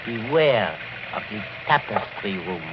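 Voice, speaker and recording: An actor playing a grave, superstitious man who gives halting, ominous warnings in a vintage radio drama. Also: fairly low pitch, expressive, muffled recording. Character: grave, superstitious foreign coachman or doctor, full of ominous warnings. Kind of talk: 1940s radio acting. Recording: noisy, band-limited old radio recording.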